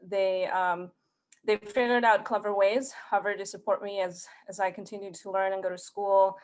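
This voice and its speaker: A woman who grew up in Mexico and speaks Spanish as her first language gives a conference talk in her thirties. Her delivery stays level and even in pitch, and she keeps restarting her phrases with small fillers as she goes. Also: normal speed, low-quality recording. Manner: earnest, hesitant, many fillers and restarts. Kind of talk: prepared career talk.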